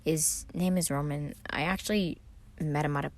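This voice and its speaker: A woman's voice: low-pitched, fairly even intonation, normal speed. A woman voicing a shy, anxious, reserved young giant who mumbles hesitantly in an audio story.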